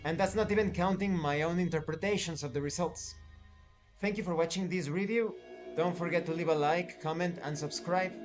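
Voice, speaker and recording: A man giving review commentary to viewers as a channel host, speaking deliberately and emphatically in short, separated phrases. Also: on the high side, soft background music.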